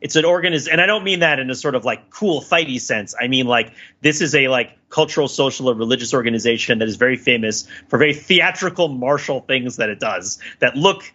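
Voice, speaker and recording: Bright, forceful man on a podcast. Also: medium pitch, highs cut off. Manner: halting, thinking aloud, repeats "right?" as a tag. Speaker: podcast co-host. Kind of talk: casual analytical podcast discussion.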